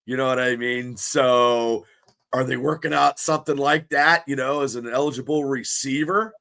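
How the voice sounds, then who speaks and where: A man co-hosting a sports podcast, talking in excitable, rambling bursts full of restarts, with a stuffy nose. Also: medium pitch, moderate pace, gravelly. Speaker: podcast co-host. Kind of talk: casual sports podcast chat.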